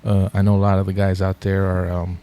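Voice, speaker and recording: A man's voice: deep voice, brisk. Laid-back man rambling with fillers. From Los Angeles, USA. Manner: relaxed, rambling, many fillers. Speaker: guest. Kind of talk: casual podcast interview.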